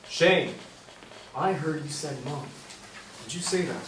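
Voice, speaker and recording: A man in a staged play reading, playing a brisk hospital doctor who questions insistently. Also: on the high side, a bit bright. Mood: urgent, probing. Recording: roomy stage, distant mic, faint noise.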